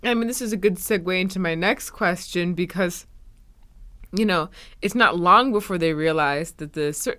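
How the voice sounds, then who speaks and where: A woman's voice: deep voice, a bit bright, clear, resonant tone. A woman hosting a conversational interview, putting questions and comments to a guest. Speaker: podcast host interviewing a guest.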